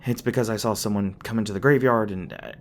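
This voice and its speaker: A man's voice: lowish voice, quick pace, a little hiss or hum, close to an everyday voice. A man playing a flustered, tender demon boyfriend in an intimate ASMR roleplay, speaking hesitantly with stammers, bashful and a little defensive. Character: flustered, tender young male demon boyfriend, secretly human-born.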